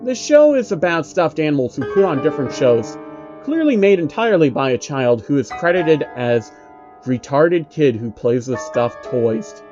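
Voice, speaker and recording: A man narrating a scripted horror story in a flat, measured reading voice. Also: medium pitch, highs cut off. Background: faint uneasy piano music.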